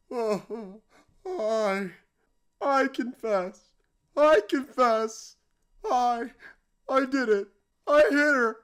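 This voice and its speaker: High-pitched, panicky villain. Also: male voice, a bit bright, smooth, exaggerated voice. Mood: defeated, whimpering. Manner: stammering, melodramatic, halting. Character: panicky, cowardly male villain executive. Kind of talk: fandub voice acting of a visual novel game.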